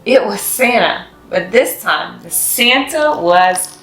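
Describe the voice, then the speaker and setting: A woman reads a children's story with expressive, animated delivery and clear emphasis. Her voice sits at a medium pitch in a roomy, echoing space, with music playing behind her. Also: slow pace. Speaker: host of a children's read-aloud series.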